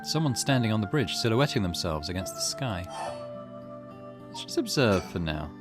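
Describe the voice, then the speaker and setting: A man voicing a wary, excitable young hero of a visual novel, full of questions. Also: lowish voice, normal speed. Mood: musing. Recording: music behind the voice.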